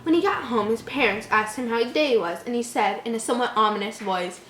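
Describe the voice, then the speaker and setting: A woman's voice: a little high, quick pace, fairly bright tone. A woman reading a horror story aloud and breaking in with sarcastic, exasperated commentary. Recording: roomy echo, faint background noise.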